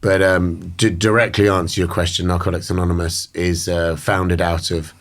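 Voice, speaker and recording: Deep-voiced British man, measured and thoughtful. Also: flat delivery. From Britain. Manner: measured, thoughtful, many fillers and restarts. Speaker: guest. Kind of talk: reflective interview talk.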